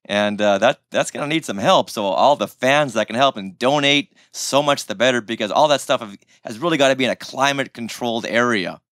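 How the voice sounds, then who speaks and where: A man's voice: mid-range voice, a little fast, few pauses, a bit bright, dry recording. Playful male podcast host, dry sound. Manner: joking, playful. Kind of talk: casual interview chat.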